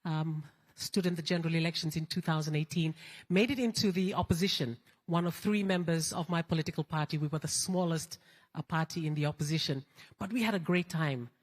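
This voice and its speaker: A woman's voice: deep voice, fairly quick. A woman politician from Fiji, speaking on a conference panel and telling her story candidly with hesitant fillers. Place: large conference hall. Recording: long hall reverb.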